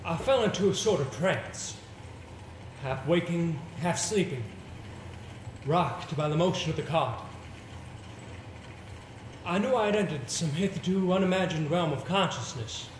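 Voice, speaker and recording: A male amateur actor plays an earnest gentleman haunted by what he has witnessed, telling it theatrically in deliberate, weighted phrases. His somewhat high voice echoes through the theatre, over faint background noise. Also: animated. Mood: haunted, grave. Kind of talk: theatrical amateur stage monologue. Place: theatre stage, recorded from the audience.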